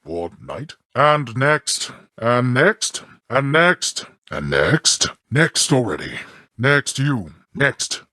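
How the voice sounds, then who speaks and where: A male actor voicing a stern, scornful god of the underworld in a video game, with a husky voice and formal, disdainful, imperious delivery. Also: medium pitch, very animated, very slow, pushed and bright, dry recording, studio quality, full character voice. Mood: commanding, impatient. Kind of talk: theatrical video game character lines.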